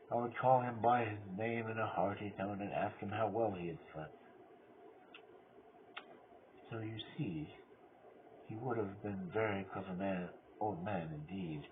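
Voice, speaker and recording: A man playing a nervous, boastful mad murderer who insists he is sane, told as dramatic gothic horror with swings between loud insistence and hushed whispering. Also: lowish voice, pitched above the performer's own voice, close to an everyday voice. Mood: smug, bold. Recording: muffled lo-fi microphone with loud noise.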